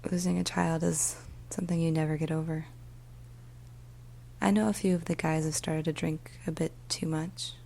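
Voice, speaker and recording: A woman voicing a weary, troubled police emergency dispatcher in a soft, often whispered ASMR storytelling monologue, speaking slowly and deliberately. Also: low-pitched, level tone, vocal fry. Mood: subdued, weary. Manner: slow, hushed, deliberate, with pauses. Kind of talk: ASMR storytelling, first-person dramatic monologue.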